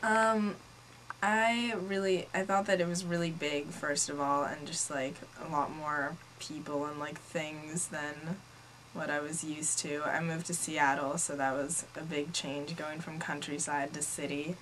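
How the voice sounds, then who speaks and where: Low-voiced young Norwegian woman, noisy recording. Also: flat delivery, measured pace, fairly bright tone. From Norway. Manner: casual, frequent "like" as filler. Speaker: interviewee. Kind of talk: informal interview answers.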